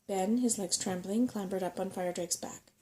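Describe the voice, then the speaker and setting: A soft-spoken woman reading a bedtime story in a gentle, unhurried ASMR style. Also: medium pitch. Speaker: audiobook-style reader.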